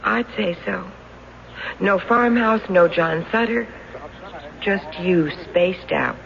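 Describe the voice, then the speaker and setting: An actress with an American accent playing a loving, practical, skeptical wife in a radio drama, talking briskly, dryly and coaxingly. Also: female voice, mid-range voice, gravelly, a bit bright. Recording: muffled, faintly noisy 1970s radio recording.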